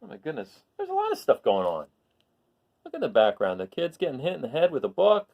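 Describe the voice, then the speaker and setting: A man reading a children's picture book aloud expressively, pausing for asides and questions to his listeners. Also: fairly high pitch, huge pitch swings, creaky, muffled recording. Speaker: read-aloud storyteller.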